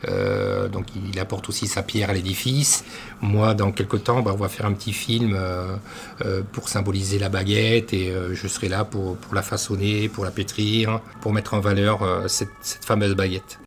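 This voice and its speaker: A middle-aged man from northern France, a master baker, telling his career story in an interview with many pauses and restarts. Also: fairly low pitch, a little hiss or hum. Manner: hesitant, many fillers and restarts. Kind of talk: conversational interview.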